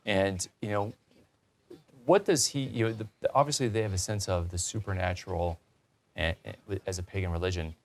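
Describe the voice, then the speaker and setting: A man's voice: deep voice, moderate pace. A man hosting a talk show, interviewing conversationally and restating points as he leads into questions.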